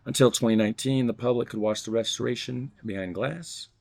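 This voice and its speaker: A man's voice: mid-range voice, a little fast, gravelly, darkish tone. A man vlogging at home, reading a fan letter aloud and joking casually between passages. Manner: reads stiffly, then jokes loosely between passages. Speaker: vlogger unboxing fan mail.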